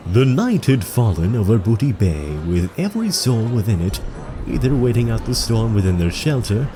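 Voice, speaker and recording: An actor playing a solemn storyteller, narrating a fantasy audio drama in a dramatic, literary manner. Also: male voice, on the low side, very animated, normal speed, over music. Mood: suspenseful.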